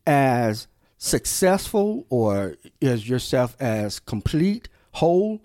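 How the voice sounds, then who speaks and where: A man hosting a podcast in an animated, affirming way, reflecting on his own past. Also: mid-range voice, slow pace, no room sound, studio quality. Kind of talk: podcast conversation.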